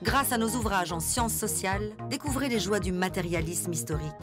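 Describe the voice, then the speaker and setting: A woman's voice: medium pitch, fairly quick, natural voice. A woman playing a confident, reassuring announcer in a parody radio advert, polished and persuasive. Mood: reassuring, upbeat. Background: music.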